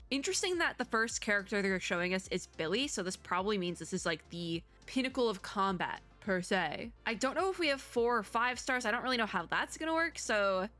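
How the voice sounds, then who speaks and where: A woman doing casual reaction commentary as a VTuber, chatty, expressive and slangy. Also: medium pitch.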